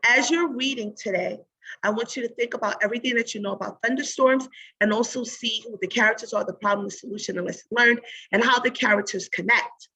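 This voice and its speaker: A woman teacher giving a read-aloud lesson in an instructive way, pausing to think aloud and pose guiding questions. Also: fairly high pitch, pushed and bright, slightly rough, low-quality recording.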